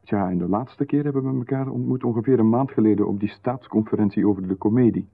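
A low, husky man's voice with a Flemish accent an unnervingly calm stranger in a radio drama. He speaks steadily and insistently, as though he knows everything already. The sound is narrow and phone-like, like an old radio broadcast. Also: fast talker. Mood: insinuating. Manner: calm, insistent, coolly overfamiliar. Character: calm, knowing male stranger who watches closely. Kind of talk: 1990s radio drama acting.

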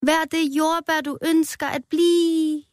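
A woman's voice: high-pitched, little pitch movement, no room sound, studio quality, pitched above the performer's own voice, close to an everyday voice. A woman voicing a small, hopeful male chewing gum in a children's story, declaiming earnestly. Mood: hopeful, calling out.

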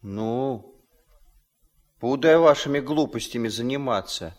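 A man's voice: medium pitch, slow pace, close to an everyday voice. An actor playing an irritable young man who bosses children in a radio play, curt and dismissive. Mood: irritated, dismissive. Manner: brusque, dismissive.

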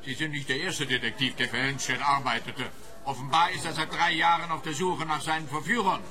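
Sharp, pompous police officer. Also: male voice, on the high side, steady tone, a little fast, soft background music. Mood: sceptical. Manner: pompous, lecturing. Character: pompous, pedantic male police officer, self-satisfied. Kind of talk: radio play detective comedy.

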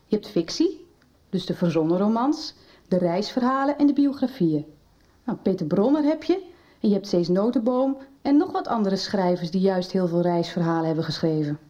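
An actress playing a mild, frank teacher in a Dutch radio soap. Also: female voice, medium pitch, expressive, normal speed, dark tone, far from the mic, highs cut off, natural voice. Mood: frank, thoughtful. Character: mild, frank woman school teacher advising a pupil on books.

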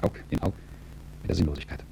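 A man's voice: fast talker, dark tone, slight background noise, natural voice, low-quality recording. An actor playing a remorseless dying man in a sober, reflective radio drama monologue. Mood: bleak, resigned. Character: fatalistic, remorseless dying man, coolly weighing his guilt.

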